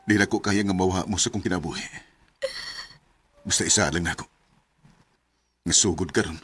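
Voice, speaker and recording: A man's voice: sits low, fairly even intonation, normal speed, fairly bright tone, husky. An actor playing a wounded, devoted young man in a radio drama, with a Cebuano Filipino accent. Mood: earnest, tender. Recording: music bed behind the voice.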